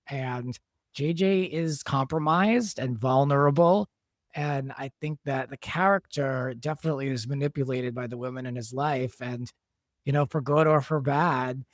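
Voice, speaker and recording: A man's voice: mid-range voice, dry recording, clean studio sound. A man who writes and produces drama, chatting casually and explaining his work as a guest. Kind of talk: casual behind-the-scenes discussion.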